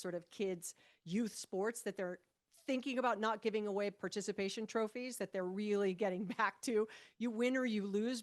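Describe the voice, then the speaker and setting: A woman in her fifties from Hawaii, a podcast guest telling stories about her past in a relaxed, animated way, with frequent restarts and light laughter. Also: medium pitch. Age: 50s. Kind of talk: conversational podcast interview.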